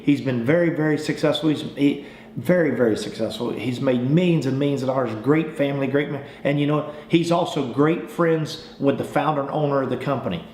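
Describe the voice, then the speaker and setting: A roomy, echoing recording with slight noise carries an American man's voice at an average male pitch. He talks like a folksy salesman coaching others, informal and pitch-ready. He often repeats a phrase or restarts it. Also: a little mellow. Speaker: sales trainer. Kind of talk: informal training talk with acted example phone calls.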